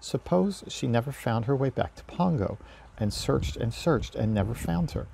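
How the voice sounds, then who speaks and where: A man's voice: mid-range voice, expressive, moderate pace, creaky, mellow, slight background noise, poor audio. A man reading a children's storybook aloud as narrator. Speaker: story reader.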